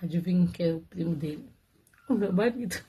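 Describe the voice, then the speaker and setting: A woman's voice: fairly low pitch, fairly quick, dark tone, husky. A woman telling viewers her own love story in a casual, chatty way, often laughing and talking straight to them.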